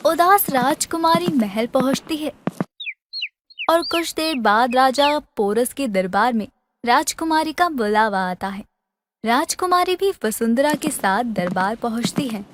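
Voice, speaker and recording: धीमी गति से कहानी सुनाती महिला. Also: female voice, sits high, animated, unhurried, background noise. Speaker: narrator. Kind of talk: scripted fairy tale narration.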